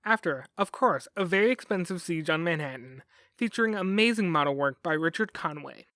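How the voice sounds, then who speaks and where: A male podcast host narrates a scripted documentary in a high, very animated voice. He slips in wry asides, recorded dry and close with faint music behind him. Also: fairly quick, dry recording.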